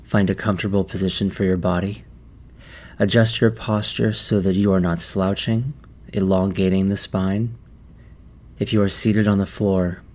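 A man leading a guided meditation in a measured, deliberate manner with long pauses. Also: on the low side, a little mellow.

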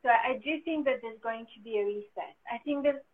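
A woman's voice: fairly high pitch, fairly quick, breathy edge. French-accented woman on a tinny line. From France. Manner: laughs often, hopeful and faith-filled. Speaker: guest. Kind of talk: casual conversation.

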